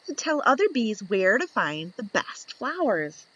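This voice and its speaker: A woman reads a children's storybook aloud at a gentle, slow pace. Her pitch moves up and down a great deal. She jumps up into higher voices whenever a question comes up. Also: breathy edge, no echo, low-quality recording. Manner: slow, lively reading with high voices for the questions. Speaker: storybook reader. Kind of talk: reading a children's picture book aloud.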